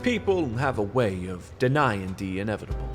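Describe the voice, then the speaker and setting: An actor playing a world-weary fantasy detective in a noir radio play, brooding and dramatic. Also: male voice, fairly low pitch, sing-song, moderate pace, music in the background. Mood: resigned, wry. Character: hard-boiled fantasy detective, world-weary, knowing, dealer with demons.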